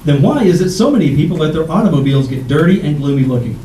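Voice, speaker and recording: A man's voice: fairly high pitch, animated, fast talker, warm tone, natural voice. A man playing a polished, friendly 1940s radio announcer reading sponsor copy. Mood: friendly, selling. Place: live stage in arts center. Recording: distant, echoey stage, faint noise.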